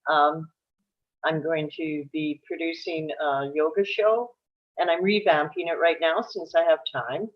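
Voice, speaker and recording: A woman's voice: deep voice, steady tone, unhurried. A mature woman with a Canadian accent casually introducing herself over a lo-fi online call.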